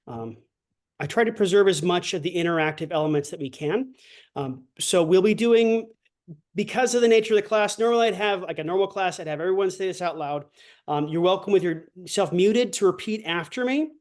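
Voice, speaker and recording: A male language instructor leading an online class over a video call, talking in a relaxed, halting way with many fillers. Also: a little high.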